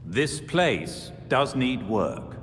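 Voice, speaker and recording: A man's voice: medium pitch, big pitch swings, very slow, music in the background. A man playing a loyal, composed older butler in a video game, speaking with formal courtesy. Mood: calm, reassuring. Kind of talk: video game cutscene acting. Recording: long reverb, faint background noise.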